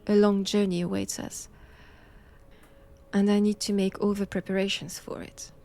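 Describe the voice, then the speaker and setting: A woman with a French accent playing a cold, haughty nun in an intimate roleplay audio drama, speaking deliberately and often dropping toward a whisper. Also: mid-range voice, little pitch movement, vocal fry, warm tone, close to an everyday voice. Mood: haughty, composed. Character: cold, imperious nun examining a captive vampire.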